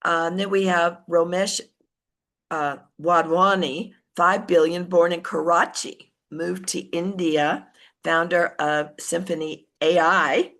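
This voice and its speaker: Lower-pitched American woman giving an informal talk. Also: steady tone, slow pace, a bit bright. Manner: conversational, self-correcting. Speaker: team leader giving a talk. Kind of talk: informal business motivational talk, partly reading from notes.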